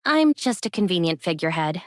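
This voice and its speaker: A woman's voice: on the low side, big pitch swings, fairly quick, dry recording, clean studio sound. A woman playing an easygoing, friendly young heroine in an anime game, speaking casually with a light teasing edge.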